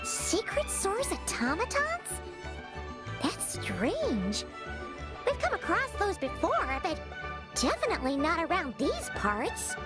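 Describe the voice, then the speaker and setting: A little childlike fairy companion from a video game, with a piping, very high voice. She is excitable and perky, swinging widely in pitch and drawing words out slowly. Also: exaggerated voice. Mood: curious. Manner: perky, exclaiming, drawn-out delivery. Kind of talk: video game character acting. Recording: studio voice over game music.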